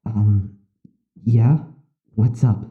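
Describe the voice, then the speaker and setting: An actor playing an uneasy young male psychiatric patient in an audio drama, halting and unsure as he talks. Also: on the low side, steady tone, breathy edge, no room sound, narrow, tinny audio, heavily acted. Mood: hesitant, puzzled. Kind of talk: audio drama acting, confiding.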